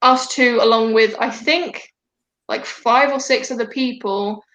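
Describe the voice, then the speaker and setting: A young woman hosts a relaxed chat over a video call, rambling with fillers and restarts in a slightly high voice. Her voice rings in an echoey room. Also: unhurried. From Denmark. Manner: chatty, many fillers and restarts. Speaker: host.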